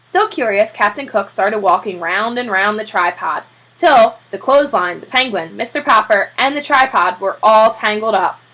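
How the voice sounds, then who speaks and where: A woman reading a children's story aloud in an expressive, animated teacher's manner. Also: mid-range voice, normal speed, poor audio. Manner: expressive, acts out character voices.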